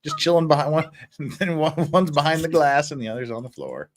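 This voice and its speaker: A man's voice: on the high side, a little fast, slight background noise. A man hosting a livestream with casual, joking, teasing banter. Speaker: livestream host.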